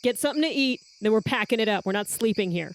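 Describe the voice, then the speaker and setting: A woman's voice: a little high, animated, quick pace, poor audio. A woman voicing a bossy, protective, sardonic adventurer in a tabletop game, speaking in a firm, wry, no-nonsense way. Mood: firm, insistent.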